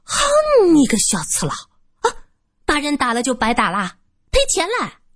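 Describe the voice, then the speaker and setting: A woman's voice: high-pitched, wildly swooping pitch, moderate pace, no echo, studio quality, pitched above the performer's own voice. A woman voicing a greedy old brothel madam who shrieks, wails and sneers. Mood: sneering.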